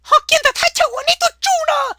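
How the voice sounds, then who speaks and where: A woman voicing an excitable, shrill comic cartoon character with a squeaky, creaky voice, prone to bursting into shouts. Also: fast talker, slight background noise. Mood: agitated, shouting.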